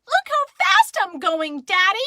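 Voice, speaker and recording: Woman playing an excited, high-voiced little boy. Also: unhurried, no echo, clean studio sound, exaggerated voice. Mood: thrilled. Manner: excited shouting out. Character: energetic, proud little boy, excited big brother.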